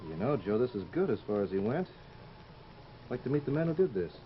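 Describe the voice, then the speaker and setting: An actor playing a flippant, curious young artist type with a breezy, musing manner in an old-time radio drama. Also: male voice, medium pitch, big pitch swings, a little fast, lightly acted. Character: flippant, curious male artist, unbothered by danger. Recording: muffled, noisy old radio recording.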